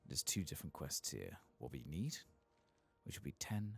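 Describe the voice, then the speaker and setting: A man softly murmuring casual, unhurried ASMR commentary over a video game, sometimes whispering, close to the microphone. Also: a bass voice, very animated, creaky. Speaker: gaming ASMR streamer.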